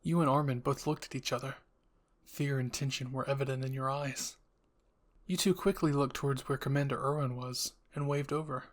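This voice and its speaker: A man with a medium-pitched voice reads scripted story narration. He is the storyteller of a listener roleplay. He tells it in the second person, placing the listener inside the tale. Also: steady tone, normal speed.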